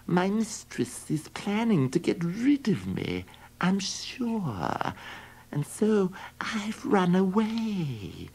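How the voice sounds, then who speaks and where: A man voicing a mournful old cat, high and thin, dragging out each complaint. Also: huge pitch swings, gravelly, a little mellow, slightly rough, heavily acted. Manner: plaintive, drawn-out complaining.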